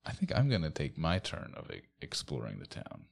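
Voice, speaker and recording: Man, extremely deep voice, creaky fry, lively pitch movement, casual tabletop game player. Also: fairly quick. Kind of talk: casual in-character and descriptive game talk.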